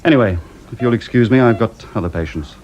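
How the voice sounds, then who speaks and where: Slightly muffled, actor as low-voiced brit hospital doctor, blunt and clipped, radio play. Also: male voice, darkish tone, a little hiss or hum, lightly acted. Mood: grim, brisk. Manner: brisk, matter-of-fact, clipped. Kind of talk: radio drama acting.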